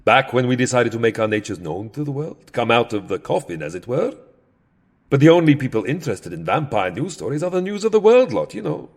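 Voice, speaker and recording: A man's voice: mid-range voice, sing-song, brisk. A man playing a centuries-old vampire count in a comedic audio drama, fussy, sardonic and theatrically wordy. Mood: wry, chatty. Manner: theatrical, wry, fussily verbose.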